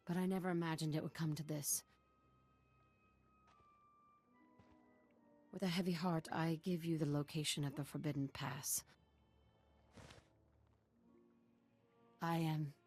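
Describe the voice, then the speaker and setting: A woman's voice: deep voice, a little mellow. A woman playing a fearful, deferential desert guide in a video game, speaking in an earnest, formal and dramatic way. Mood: sorrowful, ashamed.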